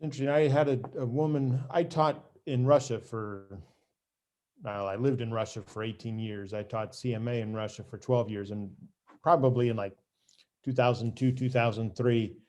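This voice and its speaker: A man who teaches finance, telling a story in a relaxed, measured way. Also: medium pitch. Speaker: CMA instructor. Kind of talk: casual storytelling conversation.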